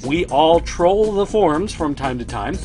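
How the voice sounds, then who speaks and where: A man's voice: fairly high pitch, animated, a little slow, a little mellow, soft background music. A man hosting a toy collecting news show, chatting casually to viewers in a dry, sardonic way.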